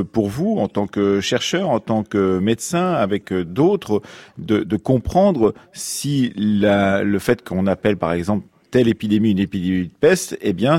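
A man hosting a radio history interview in a studio, putting long, halting questions full of pauses. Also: fairly low pitch, darkish tone, no room sound.

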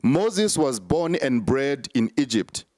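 A man's voice: mid-range voice, big pitch swings, slow, a little mellow, no echo, clean studio sound. A man, a prophet answering interview questions in an emphatic, repetitive preaching cadence with many fillers.

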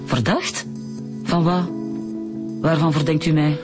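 A woman's voice: low voice, steady tone, slow pace, over music. An actress playing a proud, defensive married woman under questioning in a radio drama, with a Flemish accent. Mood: indignant, shocked.

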